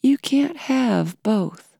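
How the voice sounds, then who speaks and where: A woman's voice: on the high side, expressive, extremely slow, vocal fry, warm tone, dry recording, studio quality, voice pushed up, full character voice. A woman voicing a defiant female singer who addresses her crowd in a sardonic, provocative way. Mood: firm.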